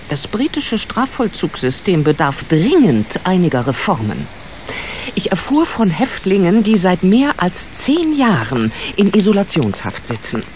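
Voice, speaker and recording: A noisy background sits behind a patient older lady in an audio drama. She is well-spoken and playfully ironic, in a lowish voice with very animated swoops of pitch. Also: a little slow. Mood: earnest. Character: patient, teasing widowed lady, charitable friend of sleuth. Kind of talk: German audio drama acting.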